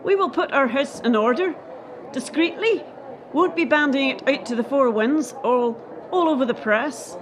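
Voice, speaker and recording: Steely, high-voiced sports chief played by a woman. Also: moderate pace, noisy background, close to an everyday voice. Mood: guarded, firm. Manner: firm, clipped, evasive, official. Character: defensive, steely female head of gymnastics nearing retirement. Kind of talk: radio play acting.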